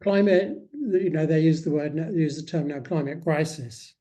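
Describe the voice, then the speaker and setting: A man speaking as an interviewed author, in a reflective, conversational way with measured, hesitant phrasing. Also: on the high side, mellow.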